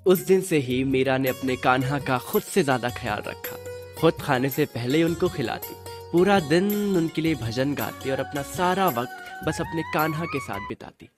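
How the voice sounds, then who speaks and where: A man's voice: fairly high pitch, animated, moderate pace. A man narrating a scripted radio drama as its storyteller. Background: music.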